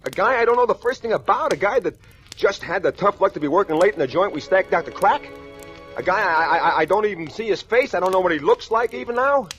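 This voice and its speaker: An actor playing a hard-boiled, callous robber in a 1940s radio crime drama, with slangy, defensive talk. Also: male voice, high voice, lively intonation, quick pace, fairly bright tone. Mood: defensive, angry. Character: hard-boiled, jumpy male robber who killed a man. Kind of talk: 1940s radio crime drama acting, first-person narration and dialogue. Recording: old 1940s radio recording, faint music.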